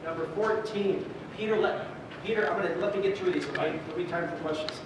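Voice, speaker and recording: Higher-voiced man presenting in very echoey hall. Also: fast. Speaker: meeting moderator and presenter. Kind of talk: public meeting presentation, reading numbered points. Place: indoor theatre at community meeting. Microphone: distant camera microphone. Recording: very echoey theatre hall, distant, noisy.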